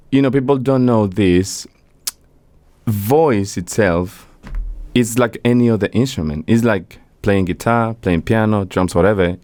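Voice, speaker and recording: Lively Mexican-born man in a dry studio. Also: lowish voice, dry recording. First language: Spanish. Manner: animated storyteller, laughs often. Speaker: singer-songwriter guest. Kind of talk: casual interview chat.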